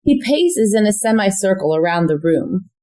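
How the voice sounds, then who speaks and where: A woman's voice: medium pitch, expressive, moderate pace, mellow. A woman reading scripted stage directions as narrator of an audio play. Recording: faint background noise.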